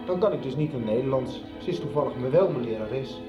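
An actor playing a defiant, curt secondary-school student in a radio soap. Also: male voice, medium pitch, lively intonation, quick pace, dull audio, over music, close to an everyday voice. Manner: curt, insolent. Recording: roomy radio drama recording.

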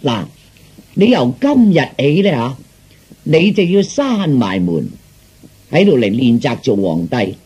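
A man voicing a glib, flattering fortune teller in Cantonese, with theatrical sales patter. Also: medium pitch, unhurried, pauses often, breathy edge, heavily acted. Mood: scheming, persuasive. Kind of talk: Cantonese storytelling performance.

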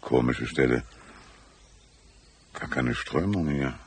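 An actor playing an old small-town constable in a radio drama, folksy and paternal, with a gravelly, husky voice. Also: male voice, a bass voice, takes it slowly, a little hiss or hum, exaggerated voice. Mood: shrewd, probing. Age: older. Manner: drawling, paternal, calls others "my son". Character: old small-town constable, folksy, slow-witted seeming but shrewd.

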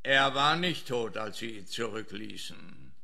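A man's voice: fairly low pitch, expressive, a little slow, gravelly, bright, forceful tone, exaggerated voice. A man playing a pompous, pedantic, accusing police detective in a comic radio play. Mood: smug, insistent.

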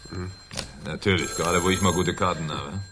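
An actor playing a shrewd, courteous French police commissioner with dry irony in a 1970s German radio play. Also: male voice, low-pitched, steady tone, noisy background, close to an everyday voice. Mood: businesslike, courteous. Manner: polite, dryly ironic, unhurried questioning. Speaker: actor in a radio crime drama.

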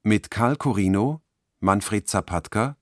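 A man's voice: on the low side, slow, no room sound, studio quality. A man reading a scripted radio announcement of titles and credits.

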